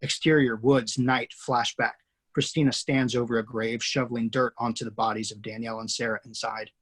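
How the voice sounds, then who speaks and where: A screenwriter hosting a table read over a video call, reading scene directions aloud. His voice is slightly husky and sits at an ordinary middle pitch, delivered plainly and steadily. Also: level tone, fairly quick. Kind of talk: reading stage directions, then casual chat. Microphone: video call mic.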